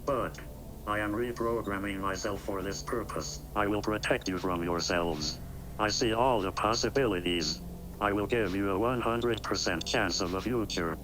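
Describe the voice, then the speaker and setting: A man voicing a calm, courteous, quietly ominous artificial intelligence with measured, formal delivery in an analog horror story. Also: lowish voice, noisy background, natural voice. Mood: calm, resolute. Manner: measured, formal, speaking in short deliberate statements. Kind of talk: analog horror scripted acting.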